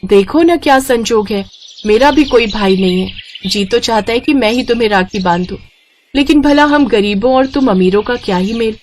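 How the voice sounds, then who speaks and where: A woman's voice: a little high, moderate pace, a little mellow, a little hiss or hum, close to an everyday voice. A woman voicing an earnest, kind-hearted poor teenage girl in a cartoon story, eager and sisterly. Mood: earnest, wistful.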